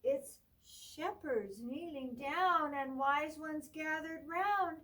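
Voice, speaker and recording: A woman librarian reading a picture book aloud to children at storytime in a gentle sing-song voice, sometimes dropping to a whisper. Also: high voice, slow, distant mic.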